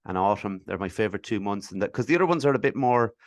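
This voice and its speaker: A man hosts a podcast in a low voice, asking friendly questions that wander and restart. The sound is close and dry, with no room echo. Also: lively intonation, fast talker. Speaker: podcast host. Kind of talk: casual interview chat.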